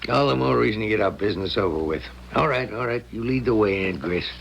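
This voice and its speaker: An actor playing a cold, scheming American crook in a radio drama, sly, curt and impatient. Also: male voice, lowish voice, animated, fast talker, gravelly, a bit bright, muffled recording. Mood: brisk, sly. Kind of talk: 1970s radio drama acting. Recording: noisy, clicking old radio broadcast.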